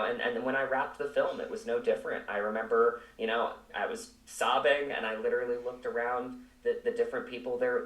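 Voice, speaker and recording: A man speaking reflectively as an interview guest, earnest and halting, repeating words as he searches for phrasing. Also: medium pitch. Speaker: actor, podcast guest. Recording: roomy, echoing, distant from mic.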